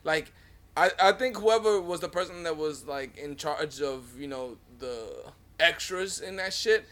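A man's voice: fairly high pitch, expressive, measured pace, fairly bright tone. A young man in his twenties co-hosting a podcast, chatting loosely with profanity and frequent laughter. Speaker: podcast co-host. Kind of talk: casual chat.

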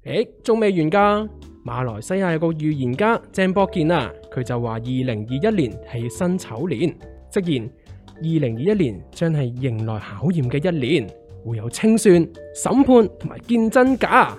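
An actor with a Hong Kong accent playing a calm, reassuring investor friend, expressive and explanatory, in a scripted radio drama. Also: male voice, on the high side, normal speed, faint music behind. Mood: ominous explaining.

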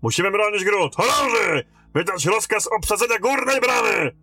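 An actor playing a blunt, battle-worn fortress captain in a fantasy audio drama, giving orders with authority. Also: male voice, sits high, lively intonation, moderate pace, sharp tone, heavily acted. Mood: urgent, rousing. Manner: commanding, barking orders.

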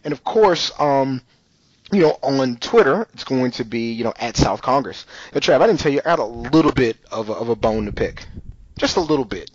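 A man's voice: medium pitch, expressive, brisk, no echo. A man hosts a podcast in a loose, conversational way, his talk full of fillers and asides. His voice sits in a middle register. The recording is dry and dull, like a basic lo-fi home mic. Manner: loose and chatty, full of "you know" and "like". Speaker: podcast host. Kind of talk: casual podcast chat.

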